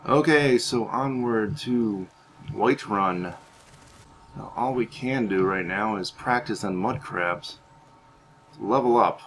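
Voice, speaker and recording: Male gaming host, lowish voice, casual roleplay commentary with muttered asides and theatrical lines, faint noise and game ambience behind. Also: slow, fairly bright tone, poor audio.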